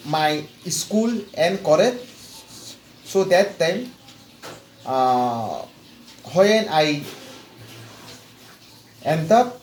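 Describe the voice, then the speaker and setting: Slow, halting man with a high voice. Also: darkish tone. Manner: hesitant, with repeated words and long pauses. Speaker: client giving a testimonial. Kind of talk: personal story, slow and halting. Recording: echoey room with faint background noise.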